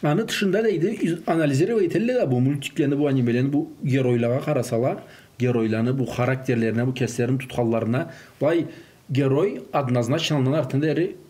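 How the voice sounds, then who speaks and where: Mellow-voiced man mixing in Russian. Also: mid-range voice, fast. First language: Karachay-Balkar. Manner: mixes in Russian words and phrases. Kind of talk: informal religious discussion on raising children.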